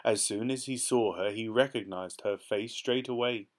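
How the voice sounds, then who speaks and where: Calm low-voiced man reading. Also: normal speed. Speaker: storybook reader. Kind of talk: gentle read-aloud storytelling.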